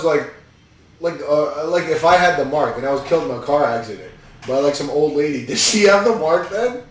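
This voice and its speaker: A man hosting a podcast, giving casual, rambling and incredulous movie commentary. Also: fairly high pitch. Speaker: podcast host reviewing a movie. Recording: echoey room, distant mic.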